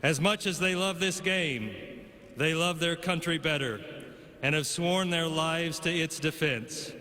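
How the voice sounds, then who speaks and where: A man's voice: fairly high pitch, sharp tone. Solemn man praying in a stadium. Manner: solemn, measured, slows on the blessing. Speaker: chaplain giving invocation. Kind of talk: public prayer. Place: large stadium over loudspeakers. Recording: long stadium reverb.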